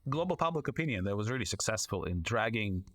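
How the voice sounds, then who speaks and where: A man with a lowish voice answers interview questions at length, in a measured way. He often leans on "you know" and restarts his sentences mid-thought as he builds up each point. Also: lively intonation, a bit bright. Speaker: guest, foreign correspondent and author.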